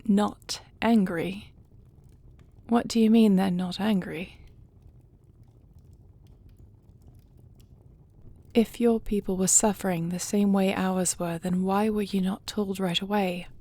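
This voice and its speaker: A woman performs a dragon queen in an audio roleplay, devoted and resolute. Her voice sits at a middling pitch with noticeable creak. She speaks formally and earnestly, with measured phrasing. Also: steady tone, dark tone. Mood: puzzled, concerned.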